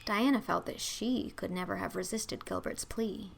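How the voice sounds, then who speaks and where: A woman's voice: mid-range voice, moderate pace, gravelly, slight background noise. A woman reading a children's story as scripted narration, in a theatrical, emphatic storytelling manner. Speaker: audiobook narrator.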